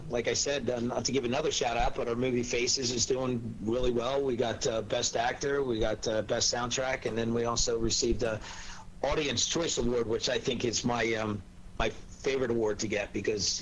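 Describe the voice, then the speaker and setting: A man with a medium-pitched voice speaks casually as a character actor on a panel. His delivery is halting and anecdotal. There is steady background noise on the recording. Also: highs cut off. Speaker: character actor and voice-over performer.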